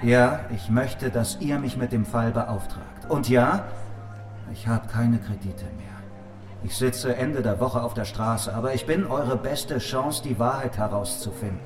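A man's voice: mid-range voice, measured pace, lightly acted. An actor playing a dry, wry, down-on-his-luck detective who narrates and talks in a noir audio drama. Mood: pleading, earnest. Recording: music playing behind the voice.

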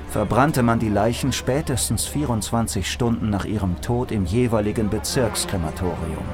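An actor playing a world-weary private detective, narrating and speaking in a noir audio drama in a dry, sardonic way. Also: male voice, mid-range voice, close to an everyday voice. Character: wry, world-weary male private detective in futuristic city. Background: music.

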